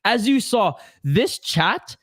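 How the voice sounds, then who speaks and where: A young Canadian man with a fairly high voice hosts a hockey watch-along stream. He chats loosely, swears, and answers viewer comments aloud. His voice is close and dry, with no room sound. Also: sing-song, slow pace, a bit bright, no room sound. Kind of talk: casual live-stream chat.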